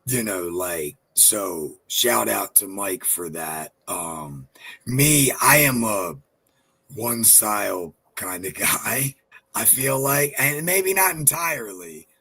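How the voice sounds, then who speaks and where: A man in his thirties with a deep, husky voice, a rapper chatting in a casual interview, rambling and swearing with lots of "you know, like.". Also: lively intonation, takes it slowly, sharp tone. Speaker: rapper guest.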